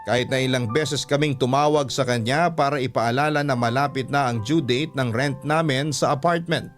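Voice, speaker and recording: A man's voice: mid-range voice, normal speed, faint music behind. A man with a Filipino accent reading a scripted first-person story as a radio-style storyteller.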